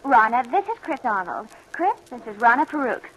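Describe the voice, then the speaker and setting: A woman's voice: a little high, big pitch swings, a little fast, fairly bright tone, a little hiss or hum, low-quality recording. An actress playing a devoted, anxious young fiancée in her twenties, breathless and polite, in 1940s radio drama style. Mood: bright social. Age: 20s.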